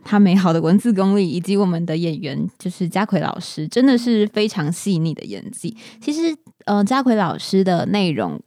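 A woman's voice: lowish voice, very animated, moderate pace, few pauses, mellow, no room sound, studio quality. A woman with a Taiwanese Mandarin accent hosting a podcast in a casual, chatty conversational way. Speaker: podcast host.

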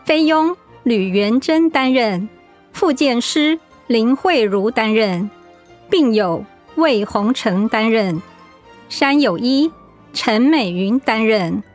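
A woman formally announcing scripted radio drama credits in a deliberate way. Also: on the high side.

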